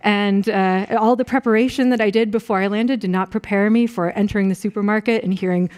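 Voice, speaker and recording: A woman's voice: mid-range voice, fairly even intonation, fairly quick, darkish tone, smooth. A woman with an American accent, a university professor, giving an introductory lecture in a friendly, conversational way and asking the audience questions. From Rochester, New York, USA.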